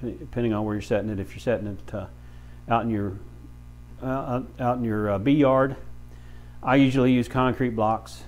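Plain-spoken middle-aged man, halting delivery. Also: mid-range voice, fairly even intonation, a little mellow, a little hiss or hum. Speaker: beekeeper and bee farm shop owner, instructor. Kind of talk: informal instructional demonstration. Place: small brick-walled room with many windows, indoors.

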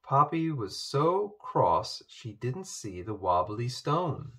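A man reading a children's storybook aloud, with expressive narration. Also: medium pitch, slow. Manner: expressive, animated. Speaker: storybook reader. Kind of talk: children's book read-aloud.

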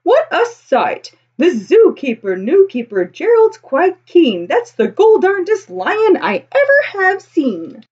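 An American woman voicing amazed, gossiping zoo visitors from a picture book, exclaiming with drawn-out emphasis. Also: very high voice, wildly swooping pitch, darkish tone, lo-fi sound, pitched above the performer's own voice, full character voice.

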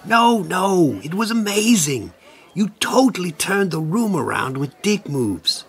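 An actor playing a devoted, excitable male assistant in an audio comedy. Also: sits high, a little slow, slightly rough, full character voice. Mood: reassuring. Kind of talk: comedy audio drama acting.

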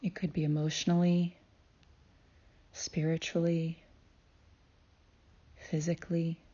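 A woman leads a guided meditation at a very slow pace, leaving long pauses between phrases. Her voice is soft-edged and mellow, sitting a little low, with a calm and soothing delivery. The recording is slightly dull. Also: breathy edge. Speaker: guided meditation host and healer.

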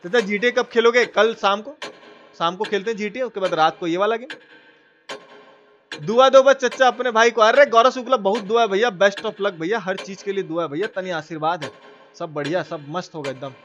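A man streams a game live in a strikingly high voice with a Bihar and Purvanchal accent. He talks casually and playfully, repeating phrases and exclaiming in colloquial slang. Music plays clearly behind him. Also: fairly quick, a bit bright, lo-fi sound. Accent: eastern Hindi belt (Bihar and Purvanchal). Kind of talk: casual live gaming commentary, thanking donors. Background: video game music.

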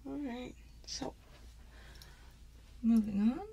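Woman, thirties, soothing ASMR role play, unhurried drawn-out pacing, gravelly fry, close-miked, gentle instructions. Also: fairly high pitch, dull audio. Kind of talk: ASMR, whispered and soft-spoken personal attention role play. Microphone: close microphone, facing camera.

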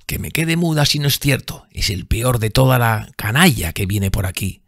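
A haggard woman from a seedy tavern in a storybook, sneering and bitter, as a man puts her on. The voice is raised and husky with a gravelly grain. It is recorded dry and close. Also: medium pitch, expressive, normal speed, a bit bright, dry recording, pitched above the performer's own voice. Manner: mocking, whispering, bitter.

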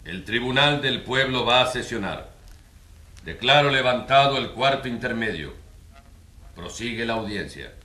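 A formal, authoritative judge, acted by a man, sounds distant in an echoey room. Loud noise surrounds this radio drama voice. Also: medium pitch, expressive, measured pace, natural voice. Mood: formal, stern.

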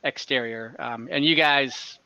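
A man's voice: medium pitch, measured pace, bright, forceful tone, smooth, no room sound. A young man narrating as game master on a video call, talking loosely with many fillers. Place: home room. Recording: slightly dull video call audio.

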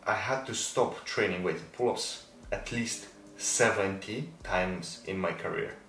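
A man's voice: low voice, a little slow, fairly bright tone. A man in his twenties with a Moldovan accent, a native Romanian and Russian speaker, talking casually and emphatically to camera as a fitness creator, repeating words for stress. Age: 20s. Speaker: fitness content creator and athlete. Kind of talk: casual explanatory talk to camera. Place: indoor room, decorated for Christmas. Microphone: small lapel microphone. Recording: roomy echo, distant mic, faint noise.

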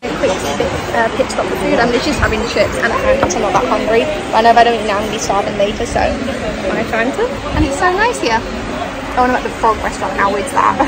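A young woman in her twenties chatting to her phone camera on a travel vlog, excitable and full of "like" and "literally", and tired from a long day. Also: a little high, fast talker, over a noisy crowd, heavy background noise. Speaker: travel vlogger. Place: hotel bathroom, then train and outdoor rainforest spots. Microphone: phone camera at arm's length.

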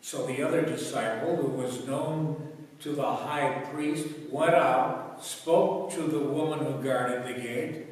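An older man reads scripture solemnly in a deliberate, measured manner at a church lectern. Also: mid-range voice, fairly even intonation. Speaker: church lay reader. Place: brick-walled church sanctuary at a lectern. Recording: echoey church hall, distant microphone.

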